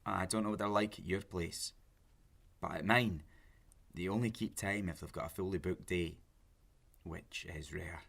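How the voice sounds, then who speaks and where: Gravelly, low-voiced obsessive lovesick man. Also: fairly bright tone, exaggerated voice. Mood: subdued, earnest. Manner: intense, halting, self-justifying. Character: obsessive, pleading, lovesick lonely man on a date. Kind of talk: naturalistic audio drama acting.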